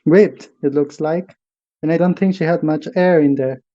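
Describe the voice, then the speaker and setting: A slightly dull recording carries a man as a protective teenage boy in an audio drama. The voice is fairly high and very mellow, speaking with urgency. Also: moderate pace, close to an everyday voice. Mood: urgent.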